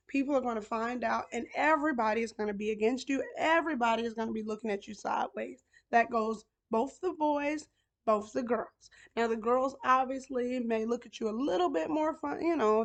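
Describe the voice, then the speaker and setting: Hoarse lady vlogging a show recap, kinda high voice, chatty, talks right at the contestants. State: hoarse, lost voice. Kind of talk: casual chat.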